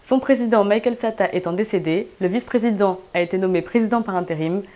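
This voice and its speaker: A woman's voice: medium pitch, quick pace, dark tone. A young woman explaining news items in a student bulletin, in a scripted, explanatory way. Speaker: news correspondent.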